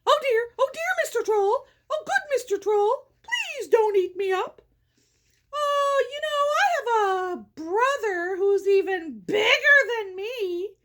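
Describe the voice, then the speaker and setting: Fairy-tale billy goat, male, medium-sized, cowardly pleader with a very high, whimpery voice female performer. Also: expressive, takes it slowly, a bit bright, exaggerated voice. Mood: frightened, pleading.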